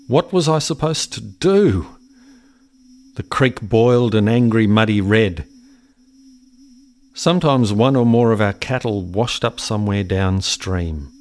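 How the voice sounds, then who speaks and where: A man's voice: fairly low pitch, close to an everyday voice. A man acting in a radio play as a weary, resigned farmer, speaking a sombre, plain-spoken reflective monologue. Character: weary, resigned farmer recalling hard years on the land.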